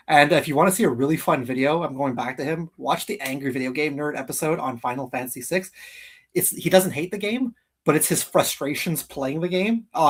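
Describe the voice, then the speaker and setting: A man's voice: mid-range voice, a bit bright, slightly rough, far from the mic. A man hosting a casual gaming livestream, talking enthusiastically and repeating phrases for emphasis. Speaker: host of a live gaming countdown show. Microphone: streaming call microphone.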